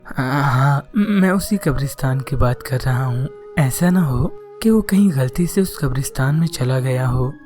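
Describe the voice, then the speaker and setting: A man's voice: mid-range voice, animated, measured pace, darkish tone, soft background music, close to an everyday voice. A man voicing a caring, reassuring father in a cartoon story. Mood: concerned.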